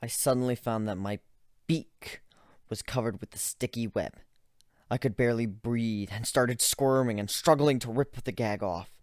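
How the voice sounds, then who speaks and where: A man's voice: mid-range voice, moderate pace, dry recording. A man giving a dramatic first-person reading of a story as its narrator, close to the microphone.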